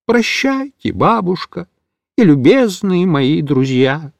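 A man's voice: very high voice, steady tone, very slow, dry recording, voice pushed up, exaggerated voice. A man voicing a small, frightened talking male cat in a storybook reading, plaintive and pleading. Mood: mournful.

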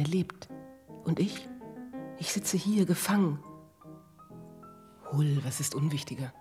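A woman's voice: low voice, a little mellow. A woman playing an imprisoned dancer and murderess in a radio drama, curt, intense, bitter and fiercely devoted. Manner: curt, intense, often drops into whispering. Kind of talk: German radio drama acting. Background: music.

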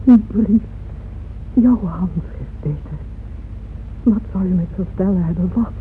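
An actress playing a grieving, lovesick woman patron who recalls her heartbreak in a halting radio drama monologue. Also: medium pitch, lightly acted. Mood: shaken. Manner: hushed, halting, repeats words in anguish. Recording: muffled phone-like old radio recording, noisy.

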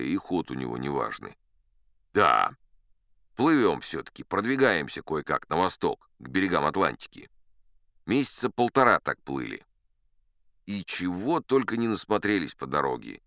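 A man's voice: deep voice, a bit bright, dry recording, studio quality, full character voice. A man voicing a boastful old sea captain who tells tall tales in the first person, chatty and confiding with the listener. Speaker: audiobook narrator. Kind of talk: first-person storytelling in an audio play.

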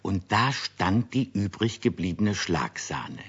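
A man narrating a children's radio play as a storyteller, with a husky voice. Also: low voice, big pitch swings, slow pace, a bit bright, heavily acted. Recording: dull old radio recording.

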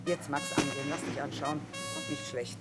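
A woman's voice: sits low, huge pitch swings, normal speed, music in the background. A middle-aged actress playing a shrewd, earthy mother who trades among soldiers, blunt and dryly ironic. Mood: gruff, practical. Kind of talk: stage-style radio drama acting.

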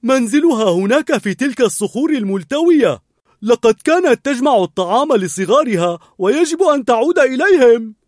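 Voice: mid-range voice, expressive, a little fast, a little mellow, no room sound, studio quality, voice pushed up, natural voice. A storyteller voicing a nervous, eager male talking dog who stammers and jitters in a storybook reading. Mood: earnest.

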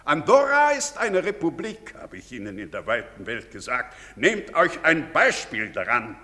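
An actor playing a pompous, self-important, bigoted middle-aged village doctor, declaiming in a theatrical stage manner. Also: male voice, a little high, wildly swooping pitch, moderate pace, sharp tone, full character voice. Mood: boastful. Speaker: stage actor in a broadcast drama. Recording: reverberant theatre stage recording.

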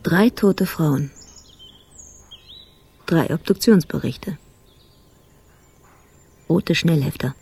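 A woman playing a sober, troubled police investigator in a radio drama, talking in clipped fragments with heavy pauses. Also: low voice, mellow, slightly rough, exaggerated voice. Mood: sober, frustrated.

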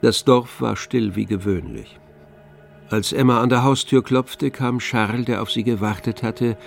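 A man narrating a literary radio drama in a measured, composed storytelling manner. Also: mid-range voice, creaky, faint music behind. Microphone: close, dry studio microphone.

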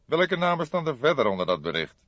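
A man voicing a young, eager detective sidekick in an audiobook, quick, emphatic and inquisitive. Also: on the high side, sing-song, fairly bright tone, dry recording, muffled recording, voice pushed up. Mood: surprised, curious.

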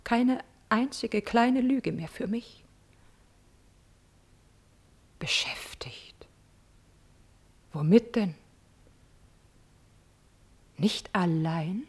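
An actress playing a proud, spurned countess in a classical radio drama, wounded, bitter and insistent, theatrically moving between exclamations and whispers. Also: female voice, medium pitch, a little mellow, slightly rough. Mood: wounded, demanding.

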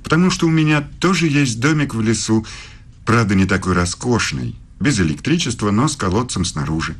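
A middle-aged man as a philosophy professor in a radio play. His voice is deep, his speech formal and measured, with a suave, inquisitive edge. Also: big pitch swings, husky. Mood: formal, probing.

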